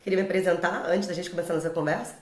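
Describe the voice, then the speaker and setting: Female podcast guest, 40s, Carioca accent, lowish pitch, conversational storyteller, echoey room. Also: steady tone, very fast. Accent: Carioca Brazilian Portuguese. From Rio de Janeiro, Brazil. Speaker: podcast guest, English teacher. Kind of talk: conversational personal storytelling in an interview. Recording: roomy echo.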